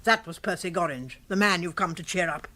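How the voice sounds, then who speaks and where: An actress playing a brisk, bossy, teasing upper-class English aunt in a radio comedy, with a forthright, emphatic delivery. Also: female voice, lowish voice, background noise, natural voice. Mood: teasing, gossipy. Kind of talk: radio comedy drama acting.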